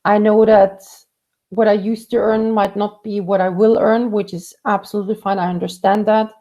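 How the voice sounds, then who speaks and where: Mellow-voiced woman giving career advice. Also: mid-range voice, little pitch movement, normal speed. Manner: conversational, frequent fillers and restarts. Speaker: career coach.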